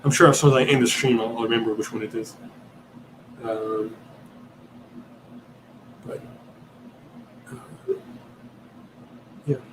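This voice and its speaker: A man from Italy whose first language is Italian, hosting a livestream Q&A and reasoning aloud, often pausing and searching for words. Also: mid-range voice, steady tone, background noise. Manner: hesitant, searching for words, many ums and restarts. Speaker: podcast host answering questions.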